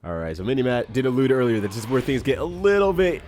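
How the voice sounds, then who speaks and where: A man commentating a video game with friends, reacting excitedly and laughing. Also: medium pitch, fast, a little hiss or hum. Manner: excitable, laughing, lively play-by-play. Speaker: let's play commentator. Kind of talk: casual gameplay commentary.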